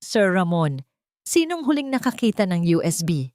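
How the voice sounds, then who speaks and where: A serious security man, played by a woman storyteller, speaks formally and briskly in an audio drama. The recording is very dry and close. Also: fairly low pitch, sing-song, a little mellow, no room sound. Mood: urgent. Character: serious, formal male security chief and guards.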